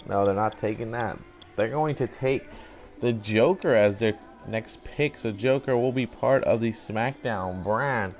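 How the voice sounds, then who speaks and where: Young man, fairly low voice, casual mock-announcer commentary, rambling, swears, faint game music behind. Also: unhurried. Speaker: gaming YouTuber. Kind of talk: mock draft announcer commentary over gameplay.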